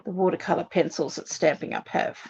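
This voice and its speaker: A woman's voice: on the low side, steady tone, a little fast, vocal fry, a little mellow, breathy edge, dull audio. An Australian woman hosting a live card-making demonstration, chatting and thinking aloud to viewers as she works, her voice a little worn. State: slightly losing her voice. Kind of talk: casual live crafting demonstration.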